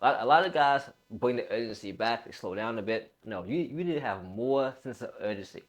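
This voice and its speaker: A man's voice: mid-range voice, animated, fairly quick. A man in his late twenties giving a casual motivational talk as a fitness coach, emphatic, repeating phrases and using informal bro slang.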